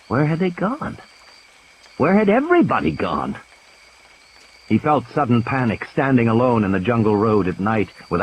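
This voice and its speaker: A man narrating an audio play in a dramatic, suspenseful and deliberate storytelling style. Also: fairly low pitch, a little hiss or hum, low-quality recording. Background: cricket-like night ambience sound effects.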